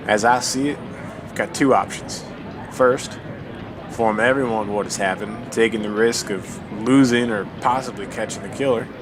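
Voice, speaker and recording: An actor playing a cautious, plain-spoken amateur sleuth on a train in an audio drama, with a folksy, measured manner. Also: male voice, close to an everyday voice. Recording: clear vehicle-like background rumble.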